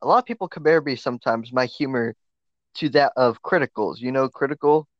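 A man's voice: mid-range voice, brisk, no echo. A man co-hosting a casual podcast, cracking crude jokes and doing mock ad reads. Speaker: podcast co-host. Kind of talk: casual chat. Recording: dry, dull lo-fi audio.

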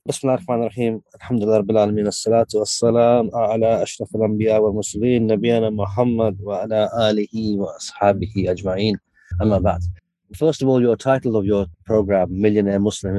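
A man's voice: lowish voice, mellow, dry recording, poor audio. A man born in the UK, a guest in an interview, speaking in an earnest, opinionated way. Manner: earnest, opinionated, opens with Arabic invocations. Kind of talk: interview answers.

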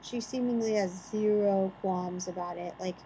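A woman's voice: mid-range voice, moderate pace, dark tone, a little hiss or hum, low-quality recording. Opinionated woman podcast co-host. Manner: opinionated, builds arguments with rhetorical questions. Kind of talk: casual chat.

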